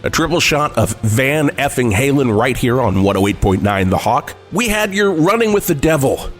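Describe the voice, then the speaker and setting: A man playing a deadpan classic rock radio DJ, delivering showy patter in a parody radio shift. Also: mid-range voice, moderate pace, close to an everyday voice. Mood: showy, wry. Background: music behind the voice.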